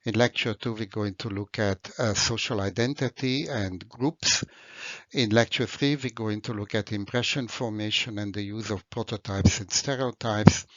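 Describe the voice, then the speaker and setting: A man's voice: on the low side, a bit bright, no echo. Older Hungarian-accented male lecturer, formal and explanatory, slightly dull dry recording. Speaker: university lecturer. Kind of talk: recorded lecture narration over slides.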